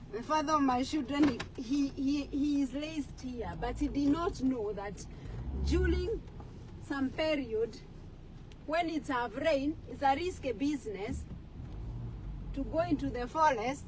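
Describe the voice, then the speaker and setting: Kenyan woman, high and slow-spoken, hesitant casual vlog from a moving car, loud road noise. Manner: hesitant, often searching for words. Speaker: vlogger. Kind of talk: casual chat while driving. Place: inside a car on country roads.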